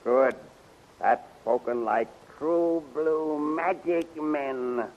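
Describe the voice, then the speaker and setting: An actor playing a villainous, commanding rebel general in a 1930s radio serial, pompous and deliberate, on a hissy old recording. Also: male voice, fairly high pitch, dull audio. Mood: vengeful, scheming. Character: villainous rebel general, commanding, vengeful, scheming grown man.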